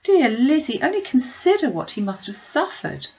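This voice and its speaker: A woman's voice: high voice, very animated, normal speed, darkish tone, lo-fi sound, pitched above the performer's own voice. A woman voicing a kind-hearted, distressed young lady in a classic novel reading, with an earnest, plaintive way of exclaiming. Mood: shocked, distressed.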